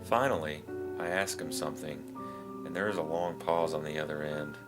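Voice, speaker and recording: A man's voice: rumbling low, fairly even intonation, normal speed. A man reads scripted first-person creepy stories as a narrator. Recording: music behind the voice.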